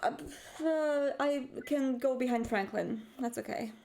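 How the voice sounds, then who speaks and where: Creaky, higher-voiced woman, hesitant roleplayer. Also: unhurried, a little mellow, rough recording. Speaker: tabletop role-playing player. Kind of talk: casual third-person narration of own character.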